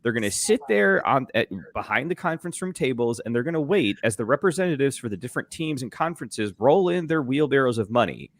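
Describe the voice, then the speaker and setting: A man's voice: medium pitch, big pitch swings, quick pace, few pauses, dry recording. A man bantering casually about sports as a show panelist, ranting in a sarcastic, profane, exaggerating way.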